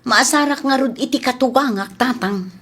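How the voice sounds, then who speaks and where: A woman with an Ilocano accent playing a stingy, nagging young wife with a husky voice in an audio comedy drama. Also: sits high, moderate pace, a bit bright. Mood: sullen. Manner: complaining, suspicious.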